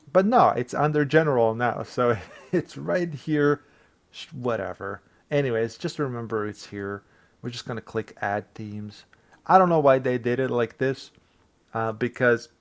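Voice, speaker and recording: Bosnian guy doing a chill tech tutorial, rambly, laughs at himself, english is his second language. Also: medium pitch. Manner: rambling, self-deprecating, chuckles. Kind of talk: casual screen-recorded tutorial.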